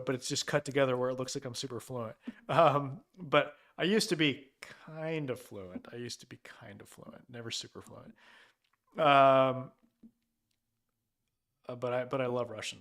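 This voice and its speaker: A man's voice: medium pitch, steady tone, normal speed, a bit bright. A man hosting a casual live Q&A as an immigration lawyer, talking loosely with many fillers and restarts. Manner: loose and conversational, many fillers and restarts, reads out viewer questions.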